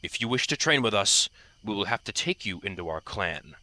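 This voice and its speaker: A man voicing a grave, proud male warrior cat, speaking formally and deliberately in an audiobook drama. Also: on the low side, expressive, bright, forceful tone. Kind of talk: audiobook fandub acting.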